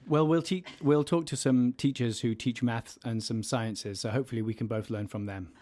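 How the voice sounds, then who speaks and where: A man's voice: mid-range voice, steady tone, normal speed, darkish tone. A man in his thirties, a teacher co-hosting a casual podcast with a playful, self-mocking humor. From England.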